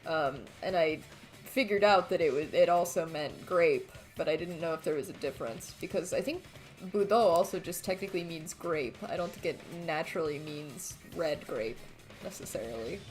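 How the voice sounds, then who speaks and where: A woman's voice: low-pitched, moderate pace, faint music behind. A woman giving casual commentary as she plays a video game. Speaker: let's play streamer.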